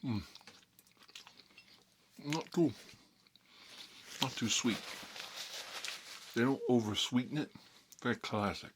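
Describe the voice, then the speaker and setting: A man's voice: medium pitch, noisy background, natural voice. A man playing a boastful Italian-American mob boss in an improvised ASMR role-play, rambling and muttering between bites of food. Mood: boastful, nostalgic. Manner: rambling, halting, muttering, talking with food in his mouth. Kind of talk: improvised ASMR character role-play, eating while talking.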